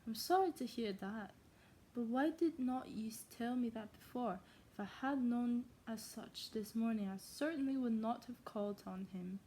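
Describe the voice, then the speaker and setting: A woman doing a dry, teasing old gentleman. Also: a little high, moderate pace, slight background noise, natural voice. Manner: mock German accent, later mock Scottish; stumbling, restarting words. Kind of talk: amateur read-aloud of a classic novel.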